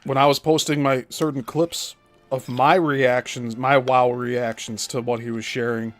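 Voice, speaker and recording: A man's voice: medium pitch, flat delivery, measured pace, no echo. Brief: male host reacting to clips, cursing and contemptuous, music under him. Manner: profane, scornful, mocking. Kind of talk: angry commentary over clips.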